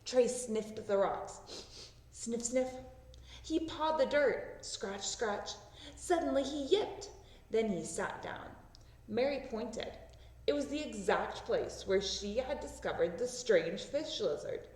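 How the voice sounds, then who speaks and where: A woman reading a children's story aloud, with expressive narration that acts out the words. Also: a little high, slow pace. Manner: expressive, acting out sound words. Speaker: storybook reader. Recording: roomy echo, distant microphone.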